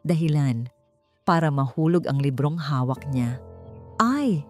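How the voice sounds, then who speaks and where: A low-voiced woman narrates a dramatic scripted story. Her tone is dark and mellow, and soft music plays underneath. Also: expressive, moderate pace. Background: background music.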